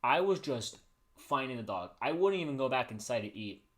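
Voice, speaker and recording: A man's voice: mid-range voice, lively intonation, normal speed, fairly bright tone. A man hosting a livestream, telling stories casually in a rambling way, often restarting and repeating his phrases. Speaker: livestream host. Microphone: streaming microphone he adjusts by hand.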